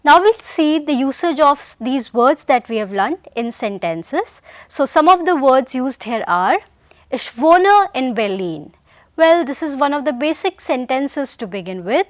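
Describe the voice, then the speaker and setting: A woman's voice: sits high, big pitch swings, measured pace, dry recording. A woman teaching a German vocabulary lesson in English, patiently repeating each word and sentence and calling her learners dear friends. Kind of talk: recorded language lesson.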